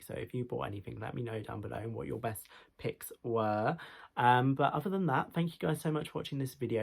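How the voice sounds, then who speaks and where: A man's voice: mid-range voice, creaky. A young man, chatting through a clothing try-on haul in a small bedroom; he has a cold that makes him sound a little nasal, and he rambles with fillers and restarts. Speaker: fashion vlogger. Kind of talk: casual try-on haul vlog. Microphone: camera mic, moving toward and away.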